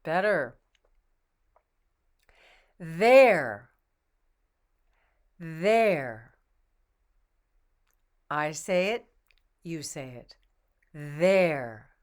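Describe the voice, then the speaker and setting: A woman teaching English pronunciation, patiently modeling each word twice in a slow drill and encouraging the learner. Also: sits low, animated.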